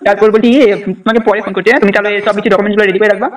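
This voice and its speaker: A man's voice: high-pitched, flat delivery, racing. A young man making an improvised prank phone call, speaking hesitantly and repeating himself. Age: young adult. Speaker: prank caller. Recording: distant mic, faint background noise.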